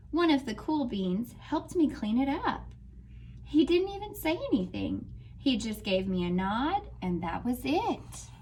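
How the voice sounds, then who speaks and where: Woman teacher, read-aloud storytime, slow and animated delivery. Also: high-pitched, a little hiss or hum. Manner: slow, expressive storybook reading to children.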